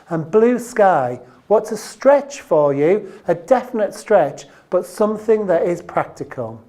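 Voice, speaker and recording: A woman's voice: lowish voice, mellow. A woman presenting an instructional business training video, addressing the viewer directly and leaning on key terms.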